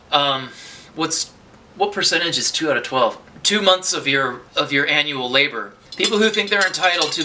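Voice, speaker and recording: Sharp-toned man ranting to camera. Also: on the high side. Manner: rambling, with many restarts and profane outbursts. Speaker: vlogger. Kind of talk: unscripted political monologue to camera.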